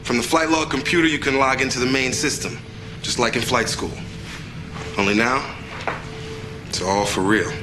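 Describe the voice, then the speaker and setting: A male actor as an easygoing fighter pilot in a game cutscene, giving wry, playfully grand patter. His voice is middling in pitch, and music plays clearly behind him. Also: normal speed, pauses often, lightly acted, rough recording. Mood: breezy, joking. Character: easygoing, wry young fighter pilot showing a newcomer around. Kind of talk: video game cutscene acting.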